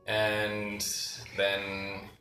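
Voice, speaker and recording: A young man from Ohio, a guest in a casual interview, easygoing and explanatory, talking quickly in bursts. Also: on the low side, completely flat delivery, pushed and bright. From Ohio, United States. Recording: echoey room with faint music.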